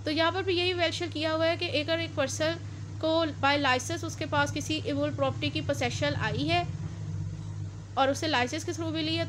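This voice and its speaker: A woman's voice: sits high, background noise. A woman giving a law lecture, explaining step by step and often stopping to correct herself. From Pakistan.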